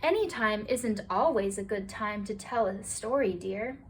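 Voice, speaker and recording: A woman voicing a wise, kindly female friend in a children's storybook, gentle and reassuring. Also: mid-range voice, measured pace, lightly acted. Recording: distant mic, faint hiss.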